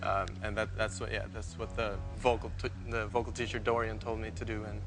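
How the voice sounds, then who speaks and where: A man's voice: fairly low pitch, little pitch movement, brisk, over music. A young man, a native Korean speaker, answering hesitantly and repeating words as a singing contestant in a live TV interview. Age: young adult.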